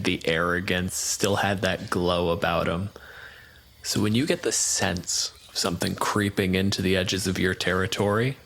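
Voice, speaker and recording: Man, game master, low voice, casual, inquisitive. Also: gravelly, fairly bright tone, low-quality recording. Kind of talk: tabletop game narration and questions.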